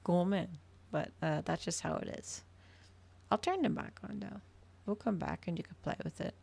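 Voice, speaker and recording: A woman's voice: lowish voice, normal speed, vocal fry, a little mellow. A woman livestreamer chatting casually to her viewers, rambling, trailing off and thinking aloud. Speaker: livestreamer hosting an ASMR and gaming channel.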